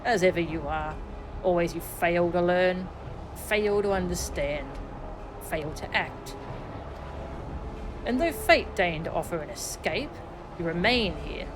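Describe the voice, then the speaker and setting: A woman voicing a cold, condescending sorcerer father from a fantasy game, in archaic, measured speech. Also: fairly low pitch, noisy background. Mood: cold, contemptuous. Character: haughty, scornful male sorcerer father, cold and taunting. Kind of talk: theatrical read-aloud of fantasy game dialogue. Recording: music behind the voice.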